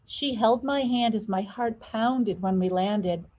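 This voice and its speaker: A woman with a fairly high voice reads a children's story aloud. Her narration is expressive, and she stretches key words with deliberate emphasis. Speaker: school superintendent reading a children's story aloud.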